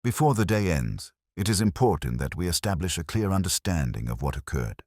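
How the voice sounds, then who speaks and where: A man voicing a calm, kindly police inspector in a mystery story, speaking in a measured, formal, gently lecturing way. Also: low voice, no echo, clean studio sound. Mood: patient, formal.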